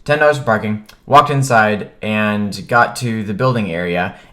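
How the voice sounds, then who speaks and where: This is an American man with a deep voice, talking as a vlogger would. He recounts his own experience casually and conversationally. His phrasing is informal, often dropping into short, clipped sentences. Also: moderate pace, pushed and bright. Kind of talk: casual first-person storytelling.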